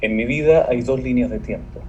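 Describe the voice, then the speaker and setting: An actor playing a serious, secretive man in audio fiction, speaking in a measured, grave way on a slightly noisy playback. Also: medium pitch, mellow, highs cut off, lightly acted. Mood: grave, hesitant. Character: serious, secretive man making a careful confession.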